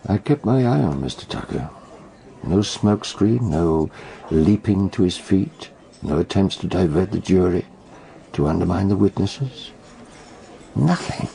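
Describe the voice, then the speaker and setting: An actor playing a shrewd, brooding British detective in a radio drama, musing in a measured way with thoughtful pauses. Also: male voice, sits low, expressive, gravelly, mellow, exaggerated voice, poor audio. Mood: intent. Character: shrewd, brooding male detective recounting a murder trial.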